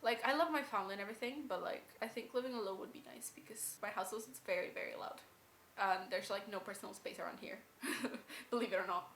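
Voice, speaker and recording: A woman's voice: mid-range voice, fast talker, a bit bright, slight background noise. An eighteen-year-old woman chatting casually and with giggles about school and growing up. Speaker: school leaver interviewee. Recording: echoey room with faint music.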